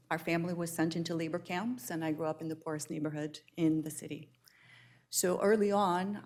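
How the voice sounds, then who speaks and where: A woman from Albania speaking as a panelist on justice and international development, earnest and measured, building her points in lists. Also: on the low side, darkish tone. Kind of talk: panel discussion remarks.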